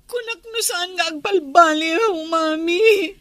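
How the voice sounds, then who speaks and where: A woman's voice: very high voice, measured pace, fairly bright tone, dry recording. An actress playing a hurt, reproachful young pregnant woman in an emotional Filipino-accented radio drama. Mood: tearful. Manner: plaintive, emotional.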